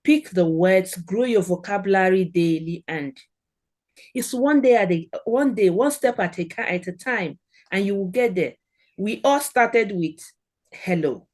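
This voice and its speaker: A woman's voice: medium pitch, expressive, a little slow, a little mellow. A middle-aged woman with a Nigerian accent, a guest on a podcast, giving earnest encouraging advice in short phrases. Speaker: guest, German language expert. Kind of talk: podcast interview.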